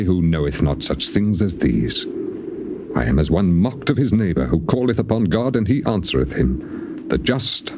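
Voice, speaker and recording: Anguished sufferer, sweeping low voice. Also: male voice. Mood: bitter sarcasm. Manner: declamatory, archaic solemn phrasing. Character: anguished, bitterly sarcastic suffering righteous man of scripture. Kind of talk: dramatized scripture reading, classical stage acting. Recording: muffled old cassette tape with clear noise.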